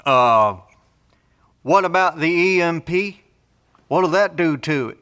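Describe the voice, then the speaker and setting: A man's voice: fairly high pitch, expressive, takes it slowly, a bit bright, clear, resonant tone. A man playing a blunt, folksy, skeptical post-apocalyptic survivor in an audio drama. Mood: incredulous. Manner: folksy, colloquial, drawling phrases.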